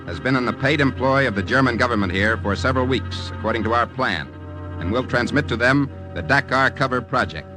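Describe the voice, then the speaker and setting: A man's voice: medium pitch, fairly quick, natural voice. Guy doing a clipped formal spy agency man reading a report on old radio, music underneath. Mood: businesslike. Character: brisk official American intelligence man dictating a report. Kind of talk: old-time radio acting. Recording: vintage radio recording with music behind.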